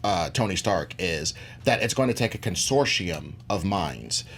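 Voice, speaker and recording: A man's voice: deep voice, animated, a little slow, slight background noise. A man debating on a pop-culture show in casual, colloquial slang, repeating words for emphasis.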